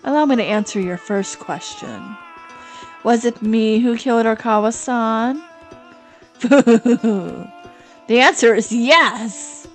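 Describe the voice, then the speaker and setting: A woman's voice: fairly high pitch, unhurried, soft background music, natural voice. A woman voicing a male freelance writer in a horror drama, unhinged and sneering, swinging between panic and cold menace. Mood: coldly menacing. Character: freelance writer man, panicky then coldly menacing killer.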